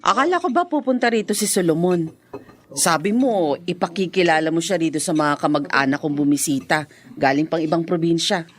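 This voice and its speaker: An actress playing a chatty, inquisitive and reassuring friend in a radio drama. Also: female voice, on the low side, brisk, lightly acted. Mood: teasing.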